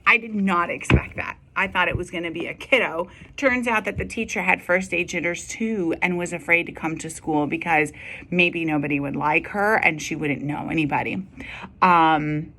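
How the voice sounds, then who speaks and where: A woman's voice: fairly low pitch, steady tone, moderate pace, bright, forceful tone. A woman educator reading a children's storybook aloud and speaking directly to her young listeners. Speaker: school educator reading to students.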